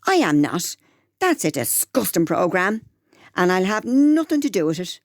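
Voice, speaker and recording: An actress playing a blunt, foul-mouthed, scheming Irish wife with a Dublin accent in a comedy radio drama. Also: female voice, lowish voice, sing-song, normal speed, no echo, studio quality. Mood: indignant, pushy.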